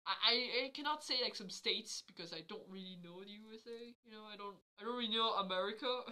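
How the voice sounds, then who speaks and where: Bright-voiced young woman with a cold. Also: mid-range voice, fast talker. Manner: rambling, heavy on "like", laughs often. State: has a cold. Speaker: vlogger. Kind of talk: casual chat to camera. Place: small bedroom, indoors. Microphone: webcam at desk.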